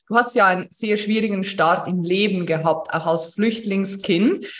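A woman speaking as a podcast host, presenting and interviewing in a podcast style. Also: on the low side.

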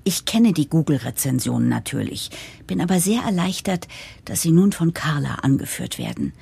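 An earnest, diplomatic office narrator in an audio drama. Her voice is slightly low and husky, with very varied intonation. She speaks formally and with composure. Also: very animated, moderate pace, mellow, exaggerated voice. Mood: composed. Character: earnest, composed woman narrator championing change, jargon-heavy.

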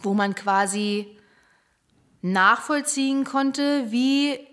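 A woman's voice: on the high side, very slow, fairly bright tone. A middle-aged woman chatting casually as a podcast co-host, colloquial and opinionated. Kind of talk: casual chat.

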